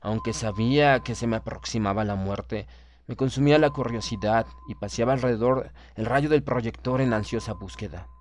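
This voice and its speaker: A man narrating a literary horror audiobook in a solemn, measured, formal manner. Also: medium pitch, darkish tone. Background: background music.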